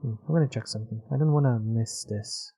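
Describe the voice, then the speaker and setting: Gravelly man muttering through a game stream. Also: mid-range voice, fast, mellow, dry recording. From Europe. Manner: muttering to himself, swears casually. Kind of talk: casual gameplay commentary.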